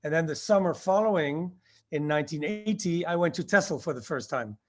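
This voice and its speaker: A man in his sixties, born in Indonesia, answering interview questions about his past and recalling it haltingly with many fillers. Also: fairly high pitch, expressive. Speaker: guest, skydiving instructor.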